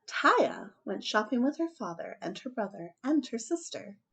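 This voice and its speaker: A woman hosting a children's story time, chatting casually and reading aloud in an expressive, emphatic way. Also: on the high side, normal speed, creaky, slightly rough. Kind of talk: casual chat and animated storybook read-aloud.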